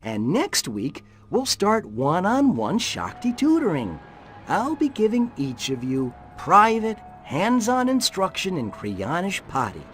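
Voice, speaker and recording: Slow, theatrical hippie guru. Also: male voice, high voice, a bit bright, a little hiss or hum, full character voice. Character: grandiose, excitable male hippie cult guru. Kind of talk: video game cutscene voice acting.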